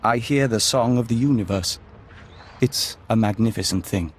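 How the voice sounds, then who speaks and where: Man playing serene hive-minded envoy, low voice, formal diplomatic manner, video game character, some background noise. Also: normal speed, lightly acted. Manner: formal, speaks of himself as we. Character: serene insect-joined human diplomat speaking as a hive. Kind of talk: scripted video game acting.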